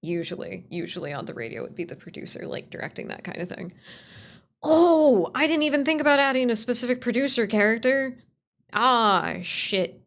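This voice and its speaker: A woman livestreamer with a creaky voice talks through her work. She is casual and playful, rambling as she thinks aloud. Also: on the high side, expressive, moderate pace. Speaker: streamer, writer and voice actor.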